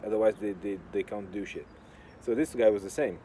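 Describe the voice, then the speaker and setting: A man with a Portuguese accent and a fairly low, very mellow voice talks casually to his viewers. He is sardonic and deadpan, swearing freely as he rambles. Faint urban works noise sits behind his voice. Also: brisk. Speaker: video host. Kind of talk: casual rambling talk to camera. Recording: clear outdoor urban construction noise. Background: noise of building works.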